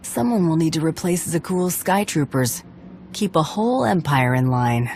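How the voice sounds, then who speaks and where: A woman's voice: low voice, lively intonation, normal speed, darkish tone, a little hiss or hum. A woman playing a pragmatic, tough bounty hunter commander in a video game, matter-of-fact and assertive. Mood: firm, pragmatic. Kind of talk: scripted video game acting. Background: background music.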